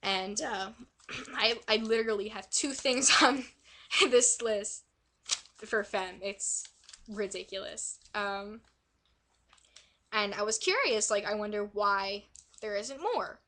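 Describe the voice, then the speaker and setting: A young woman vlogging casually, hoarse and raspy from a cold, rambling with lots of fillers and self-corrections. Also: medium pitch, takes it slowly, long pauses, sharp tone. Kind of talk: casual vlog talk. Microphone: quiet built-in mic.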